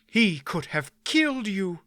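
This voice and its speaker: A woman's voice: medium pitch, sing-song, unhurried, a bit bright, no room sound, studio quality, pitched above the performer's own voice. A man voicing a stern, commanding woman who guards her companions, speaking sharply and imperiously. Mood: furious. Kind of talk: audiobook character voice.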